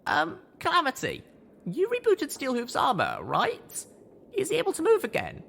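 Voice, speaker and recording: Man putting on an earnest young mare, high-voiced. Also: huge pitch swings, normal speed, vocal fry, a bit bright, full character voice. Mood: alarmed, sheepish. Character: young female pony, earnest, anxious and weary.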